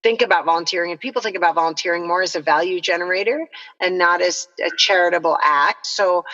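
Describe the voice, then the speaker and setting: A woman's voice: lowish voice, gravelly, pushed and bright. A middle-aged woman from El Salvador speaking as a podcast guest, telling her story in an earnest, reflective, explanatory way over a dull, reverberant connection. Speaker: nonprofit co-founder, podcast guest. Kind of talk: conversational interview storytelling.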